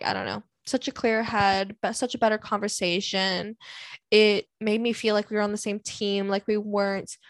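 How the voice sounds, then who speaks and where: A woman's voice: mid-range voice, no echo. A woman in her twenties from Canada, chatting candidly and rambling in a casual vlog, full of "like" and "um". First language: English. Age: 20s. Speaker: vlogger answering viewer questions. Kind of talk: casual chat.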